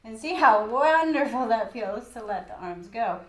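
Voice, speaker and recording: A woman with a croaky voice guiding a gentle yoga class in an old studio, cueing calmly with light laughs. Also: on the high side, normal speed, echoey room. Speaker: yoga instructor.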